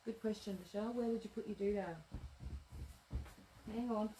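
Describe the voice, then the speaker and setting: Woman, craft host, chatty, mutters to herself, mid-pitched voice, faint hum behind. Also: steady tone, normal speed, mellow, poor audio. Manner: reads out and answers viewer comments. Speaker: craft shop owner demonstrating sewing. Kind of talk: live-streamed craft demo chat. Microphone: tablet streaming live.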